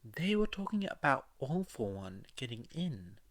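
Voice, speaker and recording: Earnest young heroine for an audio story, male reader, lifted pitch, worried and unsure of herself. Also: sing-song, measured pace. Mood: worried, uncertain. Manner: hesitant, questioning. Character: anxious, earnest teenage girl hero student.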